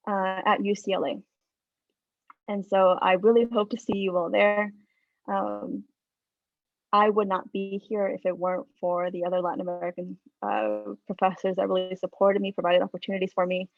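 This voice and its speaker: A woman from Mexico in her thirties, a statistics professor giving a relaxed academic talk over a video call, with frequent ums and uhs. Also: medium pitch, moderate pace, creaky, no echo. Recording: dry, phone-like video call audio.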